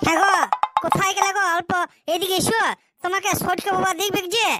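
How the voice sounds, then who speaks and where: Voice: extremely high pitch, little pitch movement, fast, loud music behind the voice. A performer voicing a hapless, gullible village husband in a comic cartoon, whining and hesitant. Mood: pleading.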